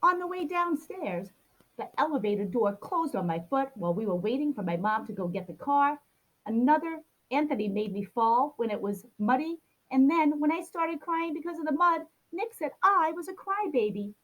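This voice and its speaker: A woman's voice: high voice, expressive, normal speed, a bit bright, poor audio. A woman reading a children's picture book aloud, expressively acting out a grumpy child's complaints. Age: adult. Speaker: storybook reader.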